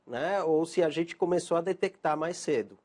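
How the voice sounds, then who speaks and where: A man's voice: fairly high pitch, moderate pace, darkish tone. A man from Argentina, a psychiatrist guest on a TV show, answering hesitantly with many fillers. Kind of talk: TV interview answers.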